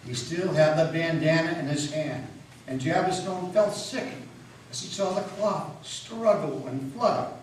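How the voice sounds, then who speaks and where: A man's voice: fairly high pitch, unhurried. A man giving a dramatic story reading as a folksy narrator. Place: public meeting house, indoors. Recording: very echoey hall, distant mic, faint noise.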